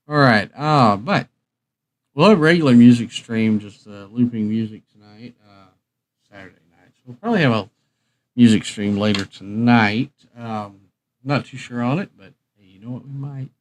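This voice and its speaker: Low-pitched man rambling casually on livestream. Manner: hesitant, thinking aloud. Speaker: livestream host.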